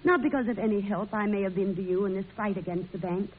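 An actress playing a fiery, sharp-tongued frontier-bred woman newspaper publisher in an old-time radio drama. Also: medium pitch, fast, slight background noise, lightly acted. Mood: earnest, worried. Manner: sharp-tongued, indignant, defiant. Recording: muffled, lo-fi old radio recording.

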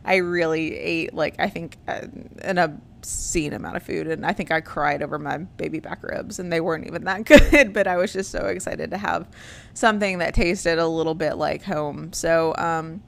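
A woman's voice: sits low, normal speed, creaky, fairly bright tone, a little hiss or hum. A woman, hosting a casual chat and rambling with lots of ums and likes.

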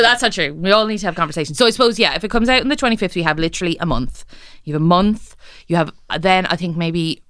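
A woman's voice: fairly low pitch, pushed and bright, smooth, dry recording, clean studio sound. A woman chatting as a podcast guest, laughing often and full of likes and restarts. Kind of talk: casual chat.

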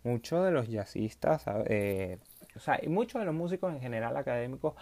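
A man's voice: medium pitch, dark tone. Rambling man hosting a podcast. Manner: rambling, many fillers and restarts. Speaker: podcast host. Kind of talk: casual solo podcast monologue.

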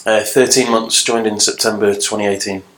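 Guy on a podcast, lowish flat voice, earnest, lots of ums. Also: normal speed, low-quality recording. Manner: earnest, plain-spoken, with filler ums. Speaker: podcast guest. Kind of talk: casual podcast interview.

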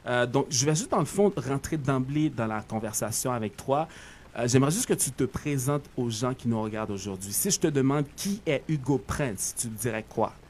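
A man's voice: medium pitch, lively intonation, normal speed, a little mellow, slight background noise. A man hosting a podcast interview, upbeat and chatty, with lots of fillers. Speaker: podcast host. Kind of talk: casual interview chat.

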